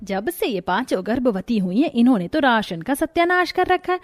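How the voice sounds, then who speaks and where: Woman acting a stingy, nagging mother-in-law, high voice. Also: sing-song, normal speed, dry recording, faint music behind. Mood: suspicious grumbling. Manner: nagging, accusatory. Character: stingy, scolding middle-aged mother-in-law, cunning and domineering. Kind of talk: Hindi cartoon moral-story dialogue.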